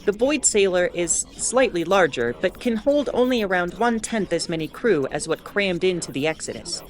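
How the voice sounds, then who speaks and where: A woman playing a wry, mildly exasperated starship announcer in a scripted audio drama, explaining in a measured way with asides. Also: fairly low pitch, animated, natural voice. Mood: explanatory. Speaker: host.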